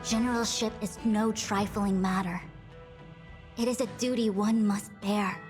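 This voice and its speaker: A woman's voice: medium pitch, fairly even intonation, over music, close to an everyday voice. Stately, formal woman as a young female acting general. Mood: solemn, official. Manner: formal, eloquent, measured, stately. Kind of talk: video game character dialogue.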